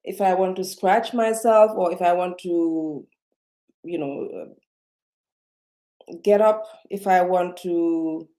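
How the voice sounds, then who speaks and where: Woman, non-native English, seated vlog to webcam, low mellow tone, halting with lots of ums. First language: not English. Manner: hesitant, many fillers and restarts. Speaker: self-help vlogger. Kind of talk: unscripted talk to camera. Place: indoors, seated. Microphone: webcam or computer mic.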